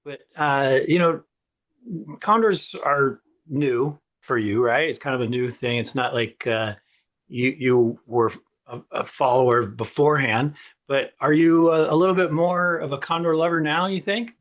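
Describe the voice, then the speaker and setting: A man hosting an online panel on a video call, relaxed and asking questions with casual fillers. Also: mid-range voice, a little slow. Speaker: host.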